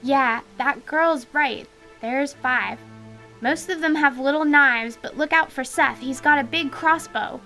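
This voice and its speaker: Woman voicing frightened little girl, very high voice, bright, pleading, radio drama. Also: measured pace, soft background music, full character voice, poor audio. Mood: earnest. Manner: pleading, breathless cries for help.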